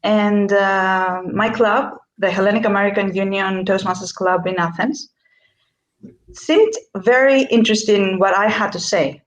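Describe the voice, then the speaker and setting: A woman from Greece talks about her workshop on a video call, searching for words and restarting her sentences. Her voice sits at a middle pitch. The room around her is noticeably echoey. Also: steady tone, unhurried, lo-fi sound. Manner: hesitant, many fillers and restarts. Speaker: workshop speaker. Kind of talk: online interview.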